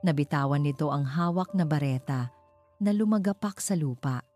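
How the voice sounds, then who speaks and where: A woman's voice: low voice, animated, moderate pace, a little mellow. A woman reading a scripted story in dramatic narration. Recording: music behind the voice. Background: background music.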